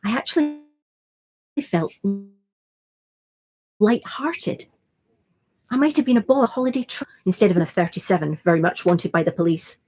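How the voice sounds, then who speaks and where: A woman's voice: medium pitch, normal speed, long pauses, a little mellow. A woman reading a classic adventure novel aloud as a first-person narration. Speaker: reader.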